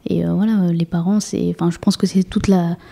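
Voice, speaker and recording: Young woman in her 20s, interview guest; monotone, hesitant, restarts a lot. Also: fairly low pitch, fairly quick, vocal fry. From France. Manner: hesitant, many fillers and restarts. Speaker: guest, municipal councillor. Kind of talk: casual interview chat.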